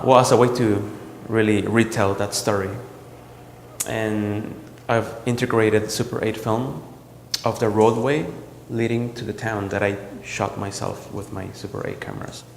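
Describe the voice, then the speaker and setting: A man from El Salvador, a visual artist, talking about his work in a gallery in a reflective, halting way with many ums and restarts. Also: fairly low pitch, gravelly, a little hiss or hum. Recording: roomy gallery echo with long reverb.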